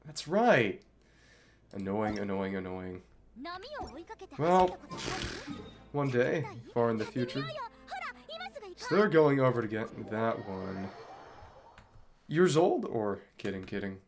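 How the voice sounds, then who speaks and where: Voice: mid-range voice, sing-song, slow pace, pauses often, over music. A game streamer chatting casually over gameplay, thinking aloud in a wry way. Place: indoors at a computer.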